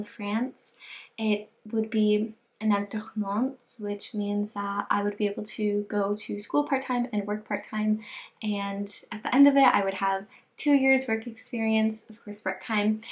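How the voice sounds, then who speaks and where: A young woman talking casually into her phone in a vlog diary, chatty, with lots of "like" fillers, nervous and excited. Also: medium pitch. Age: young adult. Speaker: vlogger applying to grad school.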